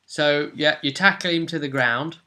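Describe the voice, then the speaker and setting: A man's voice: fairly high pitch, moderate pace, pushed and bright. A man in casual conversation, recapping a tabletop roleplaying session as game master and explaining things chattily, thinking aloud.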